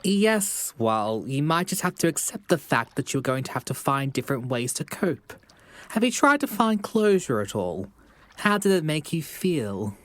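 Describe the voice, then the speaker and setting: A man voicing a calm frog therapist in an audio drama, speaking in measured, reassuring, clinical phrases. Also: fairly high pitch, no room sound. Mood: measured, frank.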